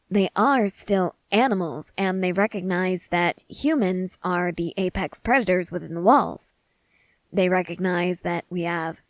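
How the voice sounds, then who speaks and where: A woman playing an excitable, curious scientist in a scripted ASMR roleplay, speaking in eager, wordy, formal and apologetic phrases. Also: lowish voice, no echo. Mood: explaining gently.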